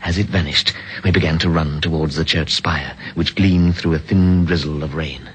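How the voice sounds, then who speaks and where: An actor playing a resolute hero who also narrates, speaking in a clipped, commanding way in a 1950s radio drama. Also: male voice, very deep voice, steady tone, normal speed, slight background noise, low-quality recording. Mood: narrating. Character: resolute male hero and first-person narrator.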